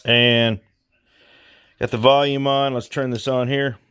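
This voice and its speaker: A man hosting a repair vlog, talking casually and thinking aloud in an unhurried way as he demonstrates hands-on work. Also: mid-range voice, level tone, a bit bright, clear, resonant tone. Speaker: host of a radio repair vlog.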